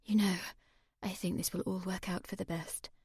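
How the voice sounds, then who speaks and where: A woman's voice: on the low side, flat delivery, moderate pace, a little mellow, husky, no room sound, studio quality. Woman doing a tired mum, flustered, plain voice, no room sound. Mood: weary. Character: weary middle-aged mother on the phone.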